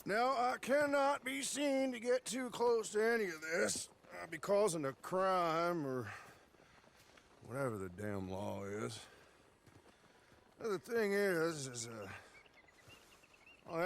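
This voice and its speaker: A man playing a world-weary, wry American marshal in a Western video game, gruff and given to hesitations. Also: high voice, lively intonation, takes it slowly, long pauses, full character voice. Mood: hedging. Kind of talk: video game cutscene acting. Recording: faint background noise, outdoor ambience.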